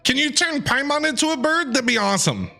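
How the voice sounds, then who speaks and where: A woman game streamer giving casual, chatty live commentary with quick, repeated short reactions. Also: high-pitched, sing-song, gravelly, pushed and bright. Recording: game music behind the voice.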